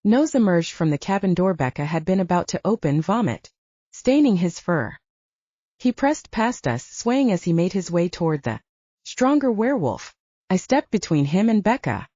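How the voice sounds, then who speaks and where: A woman's voice: lowish voice, big pitch swings, moderate pace, mellow, no echo, highs cut off. A woman reading scripted fiction as an audiobook narrator.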